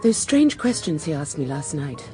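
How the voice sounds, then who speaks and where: An actress playing a genteel, charming well-to-do wife in a radio drama, with a coquettish manner. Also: female voice, deep voice, big pitch swings, normal speed, dark tone, faint music behind.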